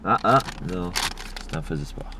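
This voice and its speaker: Young man, slightly low voice, tipsy, joking and swearing, faint background hiss. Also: expressive. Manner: joking, swearing, slow deliberate reading aloud. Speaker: vlogger. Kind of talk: tipsy casual chat. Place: in a car at night.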